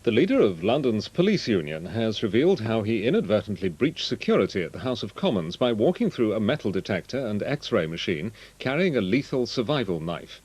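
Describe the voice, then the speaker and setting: A man's voice: on the low side, very animated, fairly quick, hardly any pauses, darkish tone, husky. An English man reading a formal, scripted television news bulletin. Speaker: news reader.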